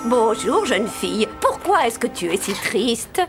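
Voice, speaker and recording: A woman's voice: a little high, sing-song, unhurried, music in the background. A woman playing a kindly, chatty forest stranger in a cartoon fairy tale, speaking in a folksy way. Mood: kindly, curious. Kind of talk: animated fairy tale acting.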